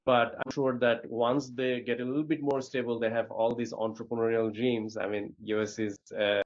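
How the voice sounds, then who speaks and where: A man's voice: medium pitch, flat delivery, moderate pace. A man hosting an interview, introducing his guest and asking questions hesitantly, with many fillers. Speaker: community host. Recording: dull lo-fi audio.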